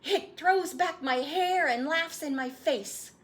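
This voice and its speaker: A woman's voice: high-pitched, slow, pitched above the performer's own voice. A woman voicing an eager, proud young boy with breathless, earnest emphasis in a storybook read-aloud.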